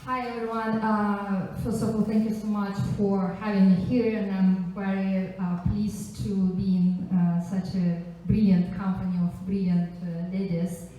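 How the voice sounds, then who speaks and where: A woman from Russia with a Russian accent introduces herself as a panelist at a live event, chatty and halting, with frequent asides. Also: mid-range voice, little pitch movement, warm tone. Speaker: panelist, angel investor and economist. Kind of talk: informal self-introduction to a live audience. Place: echoey indoor event venue. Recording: very echoey room, distant microphone.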